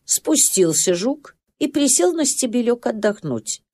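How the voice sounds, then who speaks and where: A woman's voice: a little high, big pitch swings, unhurried, mellow. A woman narrating a children's storybook tale expressively.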